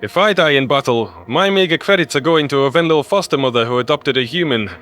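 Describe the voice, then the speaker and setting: A man's voice: mid-range voice, expressive, fast talker, a bit bright, soft background music, voice pushed up, close to an everyday voice. A man voicing a scruffy, earnest human conspiracy theorist in audio story dialogue.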